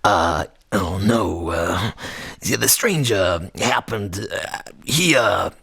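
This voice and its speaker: An actor playing a muddled, evasive beggar musician in a radio satire, with a raspy voice and a stammering, rambling delivery. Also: male voice, deep voice, animated, slow, sharp tone, heavily acted. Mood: nervous, muddled. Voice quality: gravelly, raspy. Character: muddled, evasive beggar musician and addict, rough-edged and shifty. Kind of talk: dramatic radio satire acting.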